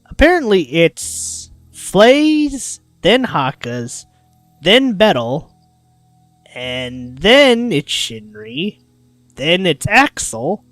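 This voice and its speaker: A livestreamer reading a visual novel aloud with expressive narration and casual, goofy asides. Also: medium pitch, no echo.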